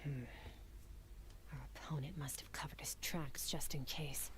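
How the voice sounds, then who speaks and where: A woman voicing a composed, aloof young female warrior in a video game, speaking in a calm, dry, commanding way. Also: low voice, measured pace, in a whisper.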